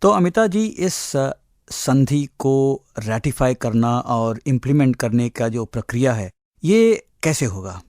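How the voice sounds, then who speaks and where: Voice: medium pitch, very animated, a little slow, a little mellow, no echo, studio quality. Polite hesitant radio interviewer.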